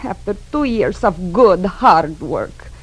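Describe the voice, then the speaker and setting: A woman's voice: lowish voice, animated, slow pace, a little hiss or hum, poor audio. An actress playing a distraught older mother with a Spanish accent in an old-time radio drama. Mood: anxious, aggrieved. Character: distraught older Spanish-speaking mother pleading for her son.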